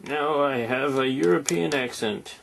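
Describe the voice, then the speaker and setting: Man doing a slow, silly toy robot villain. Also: mid-range voice, gravelly, a little hiss or hum. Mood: playful. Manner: slow, silly put-on foreign-sounding voice. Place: quiet room at home at night.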